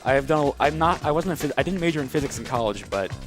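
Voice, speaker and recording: Loud game music plays behind a man talking through a speedrun. His delivery is casual and off the cuff, with dry, amused asides about the game. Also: a little high, animated, quick pace. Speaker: speedrunner commentating on own play. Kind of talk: casual live game commentary. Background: loud video game music.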